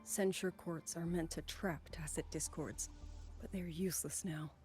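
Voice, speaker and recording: Young female soldier, played by an actress, video game. Lowish voice, grave, measured, dutiful. Music underneath. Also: fairly even intonation, darkish tone, natural voice. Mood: grave, urgent. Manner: formal, grave, measured delivery. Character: earnest young woman soldier, last survivor of her squad. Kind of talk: dramatic video game voice acting.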